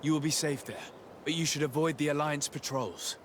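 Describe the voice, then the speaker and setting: An actor playing a resolute noble envoy in a game, composed, formal and authoritative. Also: male voice, fairly high pitch, moderate pace, a little hiss or hum, natural voice. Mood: reassuring, practical.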